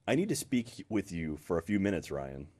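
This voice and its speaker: A man's voice: low voice, big pitch swings, moderate pace, dark tone. A man on a livestream playfully reading lines of dialogue and bantering, a little off the microphone. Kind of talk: playful read-along with banter. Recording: noisy livestream room, slightly off-mic.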